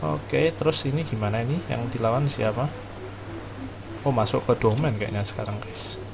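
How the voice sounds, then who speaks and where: A man's voice: mid-range voice, brisk, gravelly, background noise. A man with an Indonesian accent giving casual, conversational game commentary as an informal streamer. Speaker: gaming streamer. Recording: game music behind the voice. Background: video game music.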